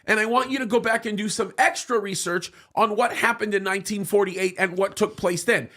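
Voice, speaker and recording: A man's voice: high voice, fairly quick, bright, forceful tone. A man in a studio talk show, making a heated argument with emphatic restarts and repeated words. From United States, of Egyptian parents.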